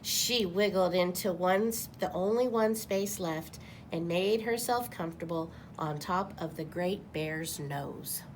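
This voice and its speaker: A woman's voice: fairly low pitch, a little slow, slight background noise, poor audio. A woman reading a picture book aloud to children as a storyteller. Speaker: guest storybook reader.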